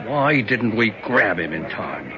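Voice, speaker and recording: A worried senator and father in an old radio play, speaking gravely in a very deep voice. The recording is dull and lo-fi, with a clear hiss behind it. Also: big pitch swings, unhurried. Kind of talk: 1970s radio drama acting.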